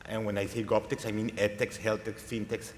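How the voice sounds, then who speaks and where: A man's voice: on the low side, flat delivery, brisk, slight background noise. Colombian man on a panel. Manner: earnest, repeats words while searching. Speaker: panelist, innovation lab director. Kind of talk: conference panel talk. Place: large conference stage. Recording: long hall reverb.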